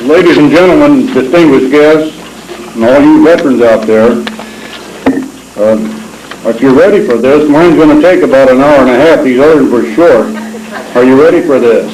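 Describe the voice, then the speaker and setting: An older man, hoarse with a cold, reading a prepared patriotic speech to veterans in a folksy, earnest way with halting delivery. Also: medium pitch, a little mellow, slight background noise. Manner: folksy, earnest, reads haltingly with throat clearing. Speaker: veterans' post speaker. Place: reunion hall with echo. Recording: echoey hall amateur recording.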